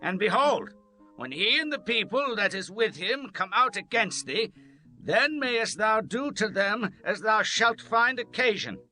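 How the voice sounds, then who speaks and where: A woman's voice: mid-range voice, sing-song, a little slow, pushed and bright, low-quality recording. A woman playing a defiant, urgent character in a dramatized scripture reading, with declamatory archaic diction. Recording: faint music behind. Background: music.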